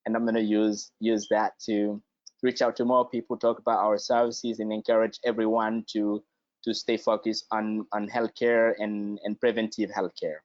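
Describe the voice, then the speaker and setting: A man from Guinea, a guest speaking in a halting way and often repeating words as he searches for them. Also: on the low side, little pitch movement, darkish tone, no room sound. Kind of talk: conversational interview. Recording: dry, slightly dull lo-fi sound.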